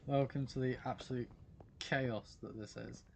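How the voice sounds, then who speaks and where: A man's voice: mid-range voice, steady tone, moderate pace, creaky, a little mellow, a little hiss or hum. A British man chatting casually with viewers while playing a game live, greeting them by name in a goofy way. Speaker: livestream gamer. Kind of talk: casual live-stream chat while gaming.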